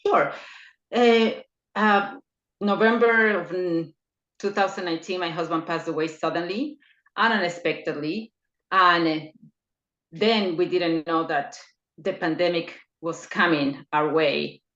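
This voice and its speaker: Low-voiced middle-aged woman from Ecuador. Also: measured pace, fairly bright tone. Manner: hesitant, with many fillers and restarts. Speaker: podcast guest. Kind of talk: personal interview. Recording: echoey, dull lo-fi remote line.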